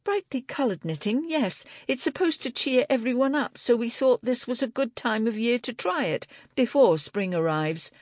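A woman's voice: on the high side, lively intonation, normal speed, hardly any pauses, no room sound, clean studio sound, pitched above the performer's own voice. A woman narrator voicing an earnest, peacemaking woman who knits, in an audiobook. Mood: explaining. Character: earnest woman knitter, apologetic peacemaking friend.

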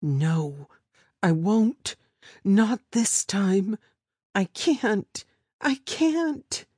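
Man doing a raised, breaking female voice, desperate young woman, slow and dry. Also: high-pitched, very animated, a little mellow, husky, no room sound, voice pushed up, exaggerated voice. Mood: defiant pleading. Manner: pleading, breaking.

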